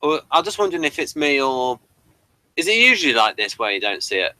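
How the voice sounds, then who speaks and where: A British man hosting a casual online review chat in a rambling, halting way. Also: mid-range voice, very animated, bright, forceful tone, no echo. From Britain. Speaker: host of online hangout. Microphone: webcam livestream setup.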